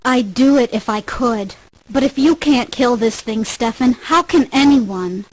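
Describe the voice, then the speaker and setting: A brave young heroine in a game drama. Her voice is fairly high with a slightly husky edge. She sounds earnest and anxious, pressing with questions and pleas. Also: unhurried, rough recording. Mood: determined. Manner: pleading, questioning. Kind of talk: dramatic video game voice acting.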